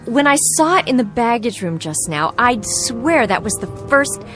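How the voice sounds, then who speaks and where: A woman's voice: on the high side, very animated, moderate pace, fairly bright tone, soft background music. An actress playing an anxious, bewildered young career woman in a radio drama, polite but insistent, with hesitant, stammering speech. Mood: disbelieving, agitated. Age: young adult.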